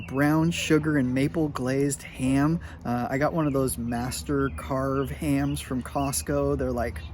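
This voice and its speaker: A middle-aged man telling a casual story to his students in a chatty, animated way, with lots of filler words. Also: medium pitch, a little hiss or hum. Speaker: high school sports medicine teacher.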